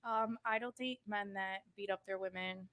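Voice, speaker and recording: A woman in her thirties introducing herself on a panel, quietly matter-of-fact. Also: medium pitch, fairly even intonation, normal speed. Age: 30s. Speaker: panel guest.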